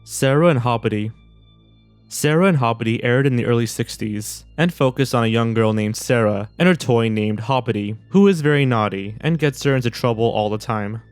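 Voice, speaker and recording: A man's voice: mid-range voice, normal speed, clean tone, no echo. A man reading scripted narration as a video narrator. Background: background music.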